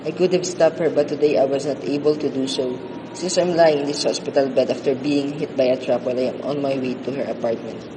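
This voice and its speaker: A man's voice: fairly even intonation, fairly quick, close to an everyday voice. A man playing a grief-stricken young man in love, narrating from a hospital bed in a radio drama. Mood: grieving, regretful. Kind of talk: scripted first-person radio drama monologue. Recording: clear background rumble and noise.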